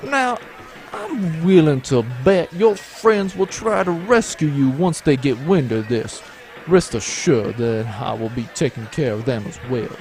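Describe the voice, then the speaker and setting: An actor playing a smug, treacherous baron in a space adventure audio drama, mock-genial and pompous. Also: male voice, on the high side, expressive, measured pace, a little mellow, faint music behind. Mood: gloating. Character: smug, treacherous male baron, smoothly menacing villain.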